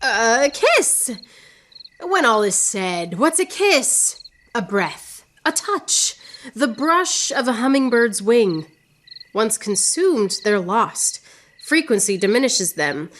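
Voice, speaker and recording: An actress playing a witty, proud, lovelorn woman poet in radio theatre, speaking in a theatrical, yearning way with drawn-out phrases. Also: on the high side, big pitch swings, a bit bright, a little hiss or hum, heavily acted. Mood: tender, wistful. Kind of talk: poetic radio theatre acting.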